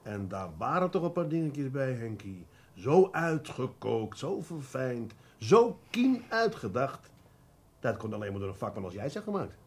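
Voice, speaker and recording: Patient police detective, Dutch, lively melodic delivery, deliberate emphasis, coaxing and mildly ironic, radio drama. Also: male voice, mid-range voice. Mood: coaxing, knowing. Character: shrewd, patient male police detective, fatherly but pressing.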